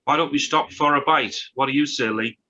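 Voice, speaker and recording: A man's voice: medium pitch, a little fast, pushed and bright, lo-fi sound, lightly acted. A man playing a crude, sarcastic oil rig worker in a table read of a drama script, needling his mates. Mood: wry.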